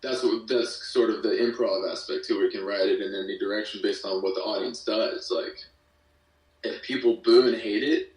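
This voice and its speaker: A man with a fairly low voice talks casually as an interview guest. His phrasing is slangy and enthusiastic, and he sounds set back from the microphone in an echoey room. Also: steady tone, moderate pace. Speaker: guest, musician and audio drama creator. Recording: echoey room, distant from mic.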